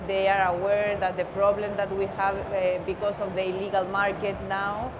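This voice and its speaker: A woman's voice: mid-range voice, completely flat delivery, normal speed, background noise. A woman from Chile, answering as a panelist in a hesitant way and correcting herself as she goes. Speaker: panelist, association president.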